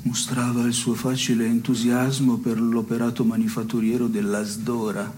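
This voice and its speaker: A pedantic, needling man acted by a stage actor with a medium-pitched voice. He talks deliberately in absurdist theatre dialogue, with noticeable ambient noise in the recording. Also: flat delivery, darkish tone, natural voice. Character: pedantic, needling, dejected man sparring with his female partner. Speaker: stage actor performing a two-person play.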